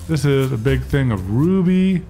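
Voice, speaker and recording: Male gaming host, thirties, very animated and excitable, casual chat, close-miked over music. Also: mid-range voice, unhurried. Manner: excitable, repeats himself. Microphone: large microphone on boom arm, close. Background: game music.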